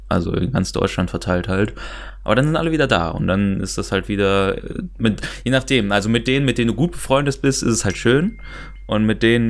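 A young man hosting a podcast in casual conversation. His voice sits on the low side and has a clear creak to it, with a loose, everyday way of talking. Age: young adult. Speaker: podcast host. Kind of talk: casual chat.

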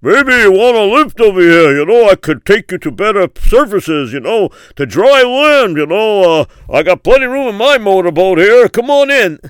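A man voicing a hearty male motorboat rescuer in a told story, calling out loudly. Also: high voice, big pitch swings, normal speed, few pauses, clear, resonant tone, dry recording, voice pushed up.

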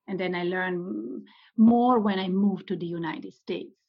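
A woman's voice: mid-range voice, a little slow, gravelly, husky, muffled recording. A woman with an Italian accent, a guest on an interview podcast, answering questions with frequent fillers and restarts. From Italy. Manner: hesitant, many fillers and restarts.

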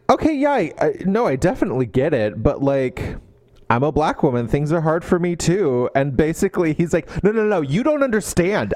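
A man's voice: mid-range voice, no echo, studio quality. A man co-hosting a podcast, giving a casual comedic book recap, sarcastic and mockingly acting out the characters' lines. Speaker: podcast co-host.

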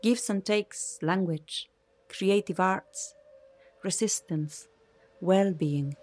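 A woman's voice: mid-range voice, very slow, darkish tone, faint music behind. A woman giving a poetic recitation in a radio play.

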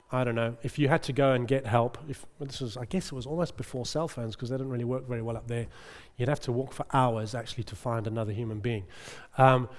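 A middle-aged male preacher giving a conversational sermon, chatty and often checking in with the congregation. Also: mid-range voice. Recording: long reverb of a large hall.

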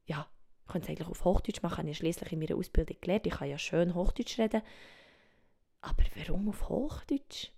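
A Swiss woman speaking German with a Swiss German accent, hosting a reflective solo podcast, thinking aloud softly and often dropping to a whisper. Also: lowish voice, fairly quick, vocal fry. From Switzerland. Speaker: podcast host. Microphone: home microphone.

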